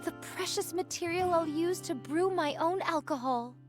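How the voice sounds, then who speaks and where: An actress voicing an excitable, playful young squad member in a game cutscene, with theatrical delivery. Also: female voice, very high-pitched, normal speed. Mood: playfully possessive. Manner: animated, theatrical. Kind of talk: scripted game cutscene acting. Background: background music.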